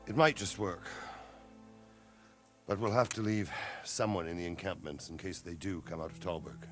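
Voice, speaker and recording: An actor playing a plainspoken veteran army captain. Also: male voice, low voice, normal speed, soft background music, close to an everyday voice.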